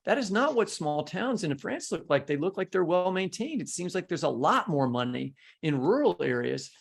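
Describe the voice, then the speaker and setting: An American man hosts in a somewhat high voice with marked vocal fry. He talks conversationally and lands his points in short, emphatic statements. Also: big pitch swings, normal speed. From United States. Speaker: podcast host. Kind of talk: conversational interviewing.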